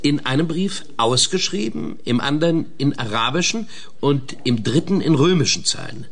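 A man's voice: fairly high pitch, expressive, moderate pace. A man playing a methodical investigator in a German radio play, hesitant at first, then explaining pedantically. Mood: pedantic, eager. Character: methodical male investigator, specialist in traps.

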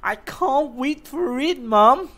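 A woman voicing an eager, curious little girl who pleads in a whiny, drawn-out way. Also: high voice, lively intonation, fairly bright tone, voice pushed up, full character voice. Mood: pleading.

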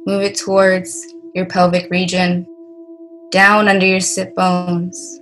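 A woman leading a guided meditation in a soothing, deliberate way, leaving long pauses between short phrases. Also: on the low side, completely flat delivery, fairly bright tone, soft background music.

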